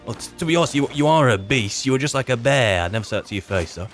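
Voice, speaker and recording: A man's voice: medium pitch, lively intonation, quick pace, soft background music. A man improvising in character as he plays a fantasy game, with wry, hesitant muttered asides. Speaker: roleplay video maker and player.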